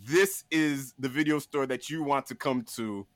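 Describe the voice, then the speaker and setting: A man talking casually and comedically as a podcast guest, pitching a list with an emphatic, playful showman delivery. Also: moderate pace, dry recording.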